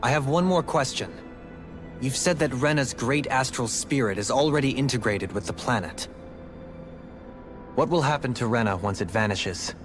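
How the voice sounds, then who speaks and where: A male actor voices a thoughtful game companion who reasons things out in a serious, articulate way. His pitch is average, and music plays clearly behind him. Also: expressive, normal speed, close to an everyday voice. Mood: inquiring. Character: thoughtful, probing male party member. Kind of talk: scripted video game voice acting.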